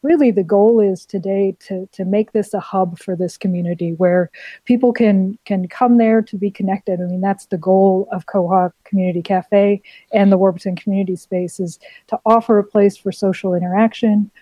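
A woman's voice: medium pitch, steady tone, moderate pace, no room sound, muffled recording. A woman with a foreign accent, a community cafe committee member being interviewed on radio, explaining in a friendly way with many fillers. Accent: non-Australian, foreign.

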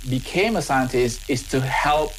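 A man's voice: mid-range voice, measured pace, slight background noise, low-quality recording. A man in his thirties with an Indonesian accent, a scientist answering interview questions earnestly, often repeating and restarting words. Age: 30s. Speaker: scientist and business owner.

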